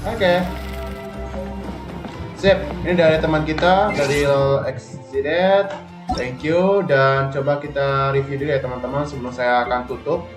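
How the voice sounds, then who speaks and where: Roomy-sounding Indonesian man livestreaming. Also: medium pitch. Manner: informal, slangy, addressing viewers. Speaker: game streamer. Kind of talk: casual livestream gameplay chat. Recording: roomy stream mic over game music. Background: game music.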